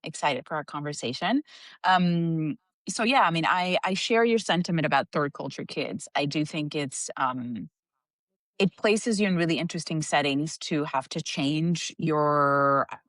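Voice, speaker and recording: Female podcast guest from Cuba, low voice, thoughtful and lively, dry close recording. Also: unhurried, dry recording. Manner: animated, reflective, laughs often. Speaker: guest, organizational psychologist. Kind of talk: casual interview talk.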